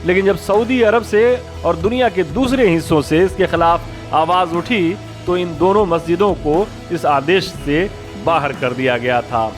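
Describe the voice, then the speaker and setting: Man, slightly high pitch, formal news narration, measured scripted delivery, background music. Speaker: news explainer channel presenter.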